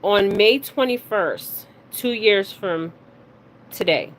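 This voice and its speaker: Halting woman on a noisy recording. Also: mid-range voice, animated. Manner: halting, stumbles over words, often checks in with "okay?". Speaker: spiritual reader and card reader hosting her own video. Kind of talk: casual psychic reading, reading news details aloud. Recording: clearly noisy recording with background ambience.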